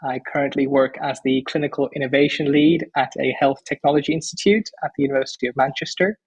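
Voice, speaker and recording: A man's voice: medium pitch, steady tone, quick pace, breathy edge, dull audio. A man around forty from the Netherlands, a physician speaking as a podcast guest in an articulate, enthusiastic way. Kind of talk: podcast interview.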